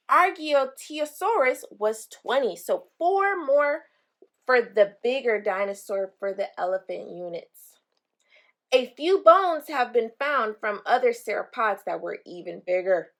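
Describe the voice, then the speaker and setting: Slow, higher-voiced woman reading to children. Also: animated, a bit bright. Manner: explains simply, addressing young listeners. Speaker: read-aloud storyteller for children. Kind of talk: children's picture book read-aloud with simple explanatory asides.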